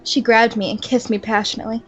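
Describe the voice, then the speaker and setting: A woman's voice: fairly high pitch, flat delivery, fast talker, faint music behind, poor audio. Giggling young woman reading aloud. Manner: giggly, sarcastic comments between passages. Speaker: fan fiction reader. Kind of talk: mock-dramatic reading with laughing asides.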